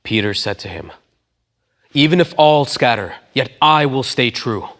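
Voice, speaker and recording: A man's voice: medium pitch, animated, unhurried, fairly bright tone. A man giving a dramatic reading of scripture as a voice actor, acting out quoted dialogue with emphasis.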